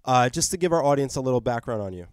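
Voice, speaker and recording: A man's voice: medium pitch, expressive, racing, no room sound, clean studio sound. A man co-hosting a podcast and asking interview questions, friendly, with short hesitations. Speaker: podcast co-host.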